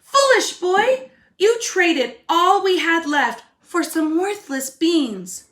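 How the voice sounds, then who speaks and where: A woman voicing a mother from a children's storybook, scolding or praising her boy in drawn-out exclamations. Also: very high-pitched, expressive, fairly bright tone, pitched above the performer's own voice, full character voice. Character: scolding then proud mother of a poor boy. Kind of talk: storybook read aloud for children. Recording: echoey room.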